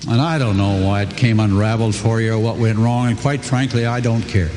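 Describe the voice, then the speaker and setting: An older man giving a folksy, earnest motivational speech to a crowd, his pitch swooping for emphasis. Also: steady tone, fairly quick, darkish tone, slight background noise. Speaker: company co-founder speaking to a crowd. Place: large hall before an audience. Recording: echoey hall, faint ambience.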